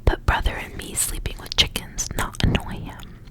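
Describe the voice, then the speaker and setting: A young woman whispering in a breathy, intimate ASMR style, softly reading and chatting. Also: normal speed. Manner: soft, intimate narration with casual asides. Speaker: ASMR reader.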